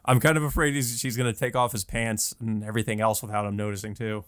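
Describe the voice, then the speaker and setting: A man's voice: lowish voice, flat delivery, fast, fairly bright tone, no echo. A man hosting a let's play, reading a visual novel aloud with casual, amused, sometimes profane commentary.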